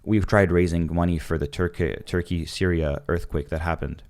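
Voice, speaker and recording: A young man from Canada talking in a casual conversation, animated, with many fillers and restarts. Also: low-pitched, moderate pace. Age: young adult. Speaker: podcast guest.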